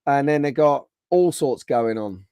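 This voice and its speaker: A man hosting a casual live stream, greeting viewers by name, his voice slightly hoarse from being under the weather. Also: mid-range voice, lively intonation, a little slow, a little mellow, dry recording, studio quality.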